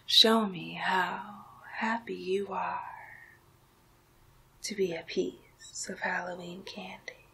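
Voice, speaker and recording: Very slow, breathy whispering young woman. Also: fairly low pitch, little pitch movement, a bit bright, poor audio. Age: young adult. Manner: slow, hypnotic, drawn-out phrasing. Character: magical enchantress turning listener into Halloween candy. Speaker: ASMR roleplay performer. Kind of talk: ASMR roleplay, whispered.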